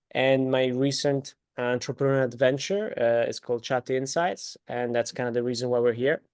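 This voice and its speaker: A man's voice: medium pitch, a little mellow, clean tone, no echo, clean studio sound. Easygoing man with an Italian accent. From Italy. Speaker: webinar speaker, entrepreneur. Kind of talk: casual webinar talk.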